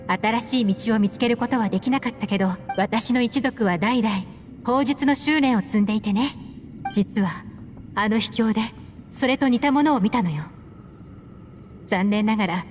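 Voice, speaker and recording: A woman voices a composed, secretive female investigator in an anime game. Her voice sits a little high and her phrasing is measured and poised. Soft music plays underneath. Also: close to an everyday voice. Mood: pensive. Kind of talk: Japanese anime game dialogue.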